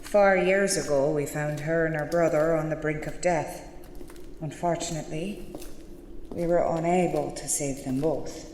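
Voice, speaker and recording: Female actor as devout convent nun for horror audio play. Lowish voice, unhurried, formal, wry, gravely insistent; noisy recording. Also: fairly even intonation, a little mellow. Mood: grave, ominous. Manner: measured, formal, solemn. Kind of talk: horror audio drama acting.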